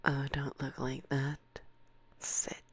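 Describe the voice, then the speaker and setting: A woman's voice: rumbling low, completely flat delivery, slightly rough, heavily acted. A woman playing a teasing, domineering forest witch in an ASMR roleplay, speaking breathily and close to a binaural microphone, slipping into whispers. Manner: teasing, condescending, slow and deliberate, often slipping into whispers.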